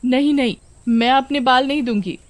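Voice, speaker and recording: A woman voicing expressive, exclamatory village women in a children's cartoon story. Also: high-pitched, moderate pace, pitched above the performer's own voice, natural voice. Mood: chatty, curious. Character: village women, eager, chatty and indignant.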